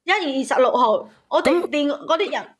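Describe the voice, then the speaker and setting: Female caller, Cantonese accent, very high voice, emotional first-person storytelling with emphatic repetition. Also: animated, moderate pace. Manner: agitated, emphatic, repeats phrases. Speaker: caller telling her own story.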